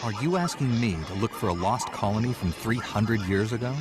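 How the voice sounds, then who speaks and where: An actor playing a skeptical male adventurer in brisk, doubtful video game dialogue. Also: fairly low pitch, background noise, natural voice. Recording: voice under very loud music.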